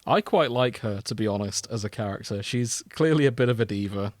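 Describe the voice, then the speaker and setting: A man's voice: on the low side, fairly quick, no room sound. Wry man chatting, dry close sound. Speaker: podcast co-host. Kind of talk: casual chat about a TV series.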